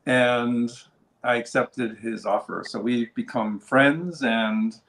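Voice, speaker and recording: A man casually introducing a friend on a livestream, speaking in a relaxed, slightly hesitant way. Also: medium pitch, slow, slight background noise. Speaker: video creator introducing a guest. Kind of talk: casual interview chat.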